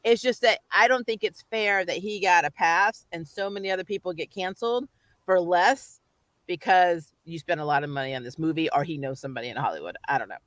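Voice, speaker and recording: A woman hosting a casual chat, speaking in an animated, opinionated way and laughing readily. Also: on the low side, brisk, no room sound. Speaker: host.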